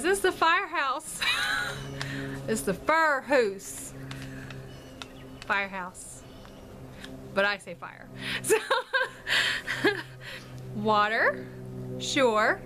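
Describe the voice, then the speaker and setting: Vlogger, female, light Chesapeake accent, talks in a bright, higher voice, giggly and rambling. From Washington, D.C. metro area. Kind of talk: casual chat to camera. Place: home in North Carolina.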